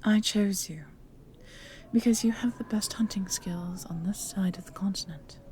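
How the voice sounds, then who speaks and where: A woman playing a cold, menacing evil noblewoman in a fantasy audio drama, imperious, commanding and threatening in her deliberate delivery. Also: mid-range voice, warm tone, a little hiss or hum, natural voice. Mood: coolly menacing.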